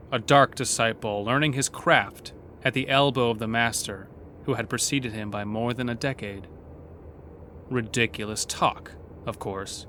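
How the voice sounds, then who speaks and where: A man narrating a scripted horror story in a measured, literary, dramatic manner. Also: medium pitch, fairly bright tone, slight background noise.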